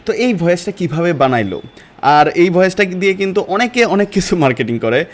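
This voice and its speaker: A man with a Bangladeshi accent teaching a step-by-step software lesson in informal, colloquial speech. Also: sits high, big pitch swings, brisk. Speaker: online course instructor.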